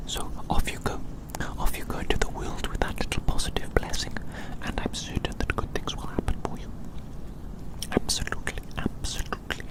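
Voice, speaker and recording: An ASMR performer whispering breathily and gently in a roleplay as a kindly, curious adventurer, often repeating phrases softly. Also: brisk, rough recording. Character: kindly, curious adventurer fond of magical little creatures.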